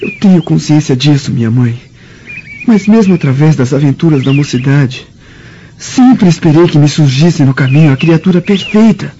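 A man's voice: on the high side, lively intonation, normal speed, mellow. An actor with a Brazilian accent playing an anguished young Roman nobleman in a radio drama, with solemn, sorrowful, formal delivery. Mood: brooding. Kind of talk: melodramatic radio drama acting. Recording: dull lo-fi radio drama, background noise.

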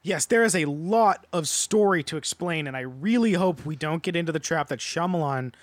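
A man's voice: fairly high pitch, lively intonation, moderate pace, no room sound. A man co-hosting a podcast, casually giving his opinions in a panel chat about films. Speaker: podcast co-host.

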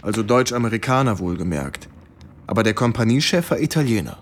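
Radio play casting: American lieutenant, sober and low-pitched, slight background noise. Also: male voice, very animated, fairly quick. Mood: recounting. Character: sober American army lieutenant recounting the war. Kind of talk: radio drama acting.

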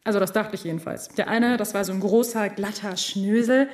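An actress playing a cheeky, chatty young office assistant in a radio drama. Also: female voice, mid-range voice, fairly even intonation, a little fast, a little mellow, natural voice. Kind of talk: radio drama, narrating and acting. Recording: radio drama with faint music.

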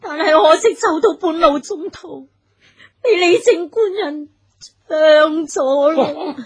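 A woman's voice: sits high, slow pace. A woman playing a devoted, desperate young daughter-in-law in a Cantonese radio folk drama, tearful and pleading in a deferential way. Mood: sorrowful.

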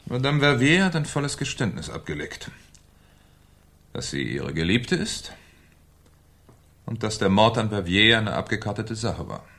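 An actor playing a shrewd, sardonic French police commissioner in a German radio crime drama, with a dry, probing manner. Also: male voice, lowish voice, very animated, measured pace, long pauses, a bit bright, heavily acted. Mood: pressing, ironic.

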